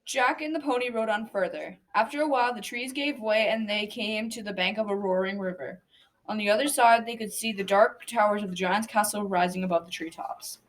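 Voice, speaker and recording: A woman's voice: mid-range voice, fairly quick, close to an everyday voice. A girl of about twelve or thirteen narrating a folktale radio drama from a script, occasionally tripping over words. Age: child, around 12-13. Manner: read aloud with stumbles. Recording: noisy amateur school recording.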